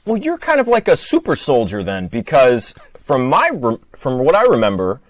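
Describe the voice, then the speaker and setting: Midwestern American male guest, animated pitch, dry close sound, rambling and playful. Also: moderate pace, dry recording. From Michigan, USA. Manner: rambling, self-deprecating, playful. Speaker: podcast guest. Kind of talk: casual chat.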